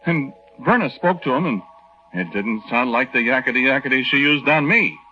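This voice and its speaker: A man's voice: mid-range voice, animated, normal speed, soft background music, lightly acted. An actor playing an aggrieved young soldier husband who tells his story in a folksy, hesitant, conversational way in a 1940s radio drama. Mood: flustered. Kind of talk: 1940s radio drama first-person narration. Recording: dull old 1940s radio recording.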